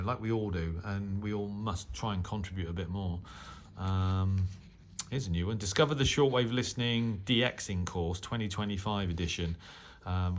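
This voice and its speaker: A man with a fairly deep voice talks through material casually, as a hobby channel host would. He is unscripted and conversational, pausing often on ums and feeling his way through sentences. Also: slight background noise. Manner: hesitant, halting, conversational delivery with many filled pauses.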